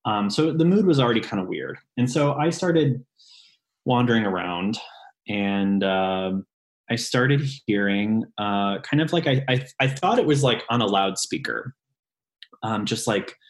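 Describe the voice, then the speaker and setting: This is a chatty man in his late twenties with a fairly low voice. He talks casually in an interview, filling his sentences with ums and jumping suddenly up in pitch when something excites him. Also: unhurried. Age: late 20s. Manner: chatty, with frequent ums and lively upward pitch jumps. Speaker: ghost tour guide.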